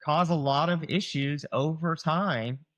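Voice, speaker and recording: A man's voice: fairly high pitch, measured pace, highs cut off. A man in his forties with a Southern US accent, a chiropractor talking casually on a podcast, full of fillers and acted-out patient quotes. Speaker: chiropractor guest. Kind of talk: explanatory podcast conversation.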